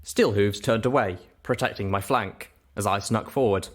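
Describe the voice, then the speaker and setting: A man's voice: fairly low pitch, normal speed, heavy background noise. A man narrating a first-person story in audiobook style.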